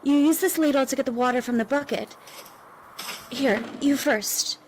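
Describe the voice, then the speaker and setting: A woman acts out a young desert refugee in a cinematic audio drama. Her voice sits fairly high, earnest and reassuring, devout and protective yet fearful, over faint background ambience. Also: normal speed, a little hiss or hum, close to an everyday voice, rough recording. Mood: calm, protective.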